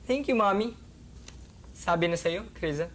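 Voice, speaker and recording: A man's voice: high voice, animated, unhurried, slight background noise, voice pushed up. A young man with a Filipino accent playing a devoted, tender boyfriend in an amateur radio drama. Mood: loving farewell. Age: teenager or young adult. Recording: music playing behind the voice.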